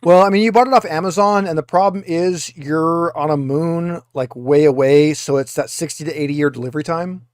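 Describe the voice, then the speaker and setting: A man with a fairly high voice runs a tabletop roleplaying game as game master. He improvises narration in a wry, conversational way, and the recording is dry and close. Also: a little fast, hardly any pauses, dry recording.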